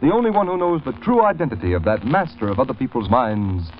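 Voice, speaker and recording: A man's voice: medium pitch, very animated, quick pace. A man with an American accent reading a script as an old-time radio announcer, formal and emphatic. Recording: muffled, faintly noisy vintage radio recording.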